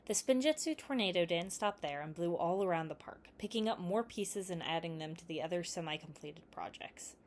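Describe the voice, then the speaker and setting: A woman narrates an adventure story in a fairly low voice. She reads from a script, as a storyteller does in an audiobook. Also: expressive, brisk, hardly any pauses, a little mellow, slight background noise. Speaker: fan fiction reader.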